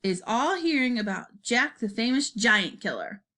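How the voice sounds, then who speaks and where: A gentle storybook giant, read by a woman, speaks in a hushed, earnest way. The grammar is quaint and muddled, and the pitch sits fairly high. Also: big pitch swings, normal speed. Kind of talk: dramatized children's storybook reading.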